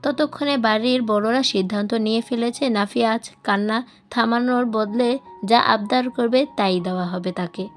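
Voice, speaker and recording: A woman narrates a novel in audiobook style. Her voice sits on the high side. Music plays behind her reading. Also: moderate pace. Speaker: storyteller reading a novel aloud. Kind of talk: scripted audiobook narration with acted dialogue.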